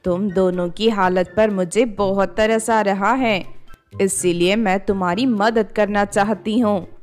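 A woman's voice: medium pitch, fairly even intonation, a little slow, faint music behind, close to an everyday voice. A woman voicing a scheming witch in a children's cartoon story, persuasive and bossy in manner. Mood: falsely kind. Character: scheming witch, sweet-talking then commanding her captives.

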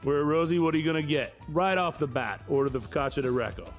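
A man's voice: on the high side, fast. A middle-aged man hosting a food show, chatting casually and enthusiastically in short emphatic phrases. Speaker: food show host, chef. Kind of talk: casual on-location food show chat and presenting. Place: restaurant dining rooms. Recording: music behind the voice. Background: music.

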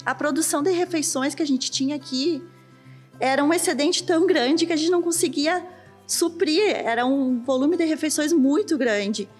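A woman's voice: high voice, moderate pace, faint music behind. A woman in her thirties with a Brazilian Portuguese accent explains things in an interview in a large empty kitchen, speaking into a handheld mic and using "né" often. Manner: explanatory, uses filler "né". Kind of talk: on-site interview. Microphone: handheld reporter mic.

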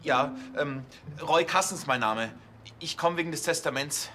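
Awkward bright-voiced fake notary. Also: male voice, a little high, moderate pace, slight background noise. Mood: nervous, formal. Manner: hesitant, formal. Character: policeman bluffing as an awkward, formal notary.

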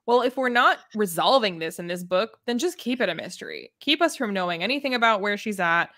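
A woman's voice: mid-range voice, expressive, fairly quick, fairly bright tone, dry recording, clean studio sound. A young adult woman co-hosting a casual book livestream, opinionated and given to acting out imagined quotes. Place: room at home, indoors.

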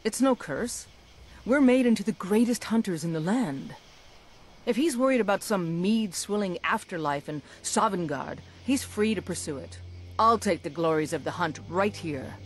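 A woman's voice: mid-range voice, animated, normal speed, a little hiss or hum, lightly acted. A woman playing a fierce, proud huntress warrior in a video game, blunt and confident. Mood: proud, defiant. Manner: blunt, confident, scornful of cowards. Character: fierce, proud huntress warrior, werewolf loyal to her pack. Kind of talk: video game character dialogue.

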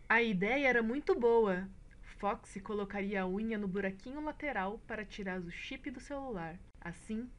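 A woman's voice: mid-range voice, fairly bright tone. A woman reading scripted storytelling narration for a children's cartoon.

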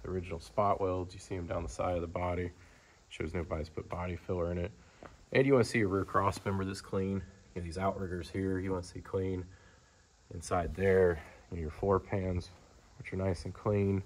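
A man's voice: deep voice, level tone, fairly quick, slight background noise. A man presenting a vehicle as a car dealer, in a casual, off-the-cuff, matter-of-fact sales walkaround that rambles along informally. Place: large indoor car showroom. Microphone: handheld camera, speaker behind it.

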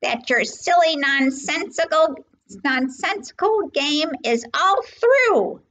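A woman's voice: a little high, big pitch swings, extremely slow, creaky, fairly bright tone, full character voice, rough recording. A woman voicing a big, haughty female kangaroo from a children's storybook, indignant and snappish, stretching out emphatic words. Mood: scolding, menacing. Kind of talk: children's storybook character reading.

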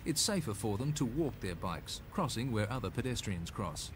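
A man's voice: on the low side, sing-song, normal speed, background noise. A man with an Australian accent narrating a scripted 1980s road safety film in an instructive, slightly folksy way.

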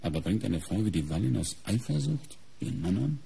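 A methodical middle-aged police inspector for a radio mystery. His low, husky voice is full of gravel. He reasons in a measured, sober way, with plenty of movement in the pitch. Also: sing-song, mellow, heavily acted. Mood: matter-of-fact. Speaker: actor in a radio crime play. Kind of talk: German radio crime drama acting.

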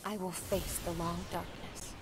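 A woman playing a resolute, selfless young warrior in a video game, speaking in a solemn, earnest, measured way. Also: on the low side, little pitch movement, background noise, close to an everyday voice. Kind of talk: video game cutscene acting. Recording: loud music behind the voice.

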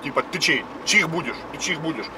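A man's voice: a little high, expressive, fairly quick. A man in his fifties, host of a casual spoken lesson, explaining words one by one while driving. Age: 50s. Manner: chatty, explains word by word. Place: car on the road. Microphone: dashboard camera. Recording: distant car mic with road noise.

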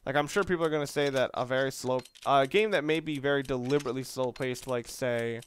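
A man's voice: medium pitch, quick pace, a little hiss or hum. A man giving casual game commentary, rambling and thinking aloud as he plays. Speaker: let's player.

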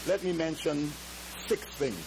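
A man's voice: fairly high pitch, creaky, background noise. Older man preaching emphatically. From Trinidad. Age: 70s. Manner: emphatic, drawn-out preaching with shouted exclamations; moves and gestures around the pulpit. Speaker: pastor. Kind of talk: sermon. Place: church stage with drum kit. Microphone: headset mic.

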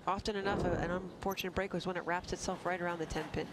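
A man's voice: high-pitched, little pitch movement, fast, background noise. A man giving color commentary on a bowling broadcast, breaking down technique and each shot in an explanatory way. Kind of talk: analytical sports commentary.

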